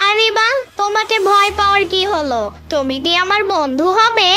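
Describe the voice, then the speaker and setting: A woman's voice: very high voice, expressive, normal speed. A woman voicing a fearless, curious little village boy in a cartoon, bold, chirpy and inquisitive, with music behind. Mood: friendly, curious. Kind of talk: cartoon character voice acting.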